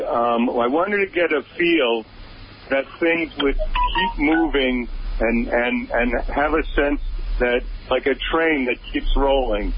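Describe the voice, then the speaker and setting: A man's voice: medium pitch, highs cut off. Hesitant man producer, faint hiss. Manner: hesitant, stumbling starts. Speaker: music producer guest. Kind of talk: casual radio interview chat.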